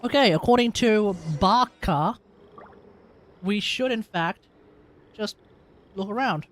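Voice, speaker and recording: A man reacting casually to a game as he streams, halting and thinking aloud. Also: sits high, fairly bright tone, slight background noise. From Australia. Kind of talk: casual live gameplay reaction. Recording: faint game music underneath. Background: game music.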